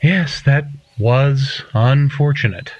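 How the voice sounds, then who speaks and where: An actor playing a defiant, zealous cult killer in an audio drama, unrepentant and self-righteous. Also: male voice, mid-range voice, takes it slowly, dry recording, muffled recording, natural voice. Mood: smooth, casual.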